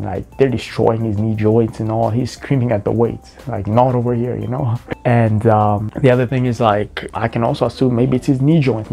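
A man's voice: medium pitch, vocal fry, warm tone, slightly rough. A young man hosting a reaction video, talking in a laid-back, mocking way with lots of slang, at a webcam. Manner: laid-back, mocking, says bro often. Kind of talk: casual commentary.

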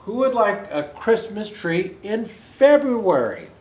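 A man's voice: sits high, very animated, slow. An older man in the role of a Santa storyteller, reading a children's picture book aloud expressively with asides to viewers. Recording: roomy indoor echo.

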